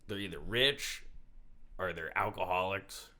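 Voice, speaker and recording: Bright-voiced young man, casual chat. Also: deep voice, measured pace. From Connecticut. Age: 20s. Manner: rambling, swears casually. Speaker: comedian guest.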